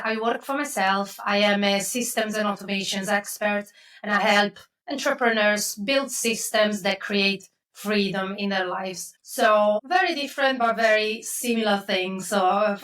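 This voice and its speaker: A woman's voice: mid-range voice, a bit bright. Italian-accented woman, distant mic. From Italy (Milan). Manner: chatty, with frequent restarts and fillers. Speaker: podcast guest, systems and automation expert. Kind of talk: casual interview talk. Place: home office, video call.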